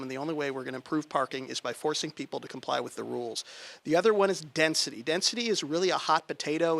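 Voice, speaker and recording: A man's voice: on the high side, vocal fry, a bit bright, dry recording. A man from California speaking as a planning commissioner to a local group, in a conversational, plainspoken way with emphatic key points. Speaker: planning commissioner speaking at a political club meeting. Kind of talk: public talk to a local group. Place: meeting room. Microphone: handheld microphone.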